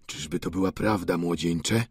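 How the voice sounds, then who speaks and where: A man voicing an old doting father in a storybook reading, low, soft and emotional. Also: moderate pace, slightly rough, dry recording, clean studio sound. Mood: anxious.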